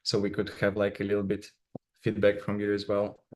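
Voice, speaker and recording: A man from Russia with a Russian accent, a native Russian speaker giving a conference talk in English over a video call, halting and filling his speech with many "uh"s and "like"s. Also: lowish voice, monotone, a little mellow. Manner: halting, many fillers and restarts. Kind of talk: remote conference presentation.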